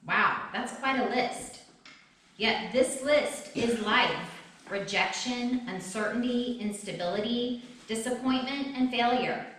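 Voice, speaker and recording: A middle-aged woman reads a personal essay aloud with expression, her voice on the high side. She is recorded from a distance in a very echoey room, as at a live reading. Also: fairly bright tone.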